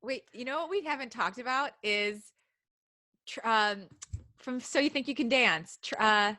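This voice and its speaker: A woman's voice: on the high side, measured pace, sharp tone, dry recording, clean studio sound. A woman co-hosting a live podcast, reading a fan story aloud with laughing asides and casual chat between passages.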